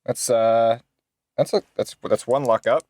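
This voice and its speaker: A man's voice: mid-range voice, steady tone, unhurried, darkish tone. Male gamer, mid-range voice, informal chatter, loose with profanity. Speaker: tabletop game player. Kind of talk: casual chat while rolling dice.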